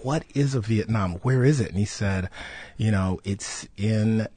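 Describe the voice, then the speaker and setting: A man in his sixties from Detroit, a guest telling childhood stories in a casual interview, animated and quick to laugh. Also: fairly low pitch, husky, dry recording. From Detroit, USA. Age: 60s. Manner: storytelling, animated, with laughs and put-on voices. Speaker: actor guest.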